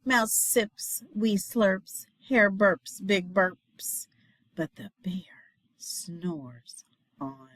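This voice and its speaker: A woman reading a children's picture book aloud in a dramatic, expressive way, dropping into hushed refrains. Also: mid-range voice, drawn-out words, no room sound. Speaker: storybook reader, teacher.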